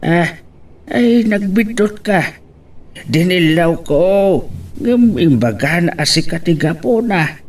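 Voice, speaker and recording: A man playing a calm older father who gives advice in an audio drama, speaking in measured, hesitant phrases. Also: high voice. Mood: gentle, resigned. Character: calm, advising elderly father of the family. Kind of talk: Ilocano radio-style audio drama. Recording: clear background ambient noise.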